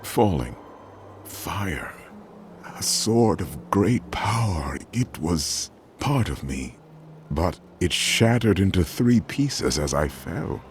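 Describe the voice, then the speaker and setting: A man voicing a warrior with no memory who speaks in halting, grave fragments, in a video game. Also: low voice, sing-song, a little hiss or hum, exaggerated voice. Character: confused male warrior who lost his memory.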